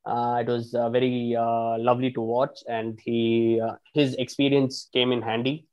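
A man's voice: mid-range voice, moderate pace, clean tone, highs cut off. A man, a football fan, arguing his side in a casual podcast chat, restarting sentences often and swearing casually. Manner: animated, frequent restarts and fillers, swears casually.

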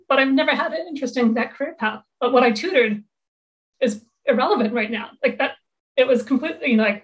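A woman's voice: fairly high pitch, poor audio. A middle-aged woman talking as an interview guest, speaking earnestly and often restarting her sentences. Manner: earnest, with many fillers and restarts. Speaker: interview guest, tech executive. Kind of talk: casual interview chat.

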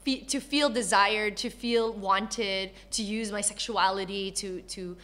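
Bright-voiced woman, candid guest. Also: medium pitch, measured pace. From born in Malaysia, grew up in Canada. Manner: animated storyteller, acts out quoted dialogue. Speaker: interview guest. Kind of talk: candid casual conversation.

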